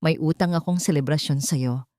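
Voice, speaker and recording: A woman's voice: deep voice, moderate pace, warm tone, dry recording, studio quality, lightly acted. A woman voicing a reserved young male doctor in an audio drama, hesitant, earnest and shyly tender.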